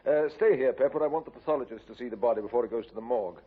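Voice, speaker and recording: An actor playing a calm, firm police inspector questioning a suspect in a 1950s radio drama. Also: male voice, medium pitch, rapid-fire, husky, natural voice. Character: stern male Scotland Yard inspector. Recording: dull old 1950s radio recording.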